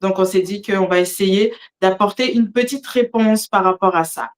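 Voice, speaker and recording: A woman's voice: mid-range voice, normal speed. Woman hosting, with hesitant delivery. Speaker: host of a religious discussion show. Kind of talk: hosting a live online talk, partly reading out notes.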